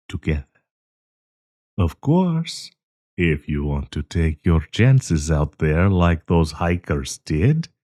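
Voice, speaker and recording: A man playing a sinister, possessive werewolf professor in an intimate audio roleplay, coaxing and menacing, with a husky, breathy voice. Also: a bass voice, darkish tone, no echo, clean studio sound, full character voice. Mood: coaxing, predatory. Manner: slow, coaxing, menacing endearments.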